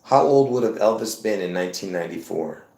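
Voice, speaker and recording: A man's voice: on the low side, lively intonation, a little fast, gravelly, darkish tone. Rambling fifty-something man, roomy echo. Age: 50s. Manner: repetitive, insistent, addresses viewers as folks. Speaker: livestream host. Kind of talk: rambling live-stream monologue.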